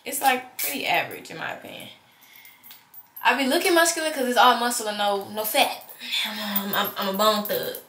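A woman's voice: on the high side, moderate pace, sharp tone, slight background noise. Congested teenage girl chatting on her vlog. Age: teens. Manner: chatty and candid, rambling asides. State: congested, stuffy nose. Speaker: vlogger. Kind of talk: casual vlog chat.

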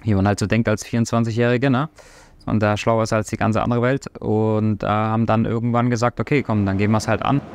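A man in his thirties, a restaurant owner and chef, speaking in a relaxed, hesitant documentary interview with many fillers, recorded on a lapel mic in a quiet dining room. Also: on the low side, brisk, slight background noise. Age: 30s.

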